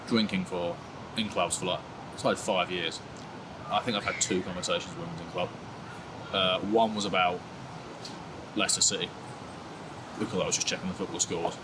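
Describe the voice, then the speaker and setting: Low-pitched young man, laid-back, self-mocking, heavy air-con and traffic hum behind. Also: moderate pace, long pauses. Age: 20s. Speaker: interviewee. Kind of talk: candid casual chat.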